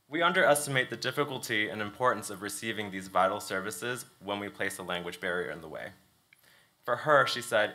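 A young man speaks at a stage lectern, drawing the audience in with direct questions. His voice sits a little low but sounds bright and forward. It is a steady, prepared presentation. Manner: engaging, asks audience questions. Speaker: student presenter. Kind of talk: thesis presentation talk. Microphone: lectern mic.

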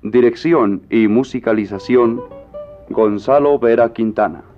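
A tinny archive radio recording of a man announcing. His delivery is slow, formal and scripted, at an average pitch. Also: soft background music.

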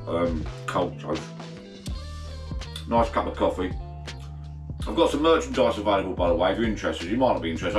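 Deep-voiced, croaky man rambling in a shed bar. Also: roomy sound. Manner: chatty, self-deprecating, meandering. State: croaky after a night of drinks. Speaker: vlogger. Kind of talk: casual rambling chat to camera. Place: garden bar shed, indoors.

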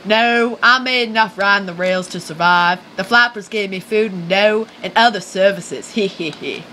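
A scrappy farm hick turned rail rider played by a woman in an amateur radio skit. The voice is bright and forward, folksy and full of old slang, over faint background noise. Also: medium pitch, normal speed, close to an everyday voice, low-quality recording. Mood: folksy, cocky. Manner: folksy, ungrammatical, slangy talk.

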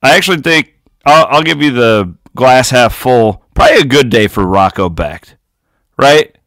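A man, hosting a postgame sports analysis show from his home office in an animated, folksy way. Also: medium pitch, moderate pace, no room sound. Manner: animated, talks straight to fans with folksy asides. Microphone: studio condenser mic on desk, webcam.

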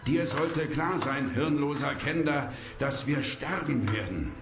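Grumpy fantasy adventurer: gravelly, mid-pitched, sarcastic, echoing radio-play sound. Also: male voice, animated, unhurried. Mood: grumbling, scornful. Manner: grumbling, sarcastic. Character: grumpy, gloomy, scornful male adventurer. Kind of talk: German fantasy radio drama acting. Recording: roomy echo with music.

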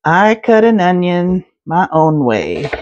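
A woman narrating a home cooking video in her kitchen, talking through each step in a relaxed way with small fillers. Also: fairly low pitch, takes it slowly, lo-fi sound, noisy background. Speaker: home cook, channel host.

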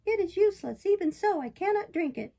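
A woman's voice: very high voice, animated, fairly quick, vocal fry, dark tone, voice pushed up, exaggerated voice. A woman voicing a stubborn wooden puppet boy in a storybook, childish and thin, with plaintive protests. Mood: complaining.

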